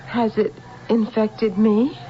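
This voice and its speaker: High-voiced, slow-spoken innocent young woman. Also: level tone. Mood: troubled. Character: innocent, emotional young woman, sheltered and devoted. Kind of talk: 1970s American radio drama acting. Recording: dull old radio recording with music.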